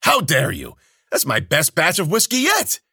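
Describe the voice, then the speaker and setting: A man voicing a boisterous, hairy male healer who drinks and laughs loudly and teases everyone. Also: wildly swooping pitch, normal speed, vocal fry, husky, dry recording, clean studio sound, exaggerated voice. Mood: jovial, roaring. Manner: booming laughter, loud and teasing. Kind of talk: audiobook character voice.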